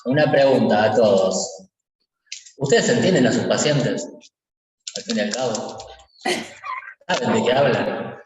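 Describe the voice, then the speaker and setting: A man's voice: medium pitch, animated, a little slow, pauses often. A man in a seminar discussion, putting questions to the group. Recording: distant, echoey hall with noise.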